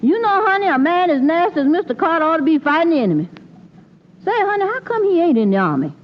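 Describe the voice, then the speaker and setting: A woman's voice: extremely high pitch, very animated, fast. An actress playing a naive, gossipy young woman with folksy, ungrammatical speech in a 1940s radio comedy. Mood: folksy, saucy. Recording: muffled, hissy old radio recording.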